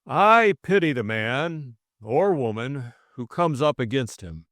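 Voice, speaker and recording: Audiobook villain, stern Russian chairman of a conspiracy, commanding, deliberate, acted by a man, dry sound. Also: mid-range voice, big pitch swings, a bit bright, dry recording, pitched above the performer's own voice. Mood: commanding, ominous.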